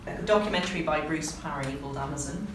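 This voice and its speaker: A woman from the UK, an English teacher, talking with visitors in her classroom in a friendly, brisk way. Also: sits low, very noisy. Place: classroom, indoors. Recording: echoey classroom, mic far off.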